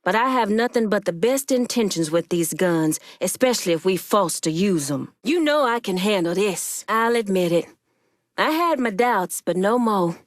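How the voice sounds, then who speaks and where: An actress voicing a proud, commanding woman crime boss in a video game, with a firm, deliberate and authoritative delivery. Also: mid-range voice, animated, no room sound, studio quality, lightly acted. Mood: composed, assured.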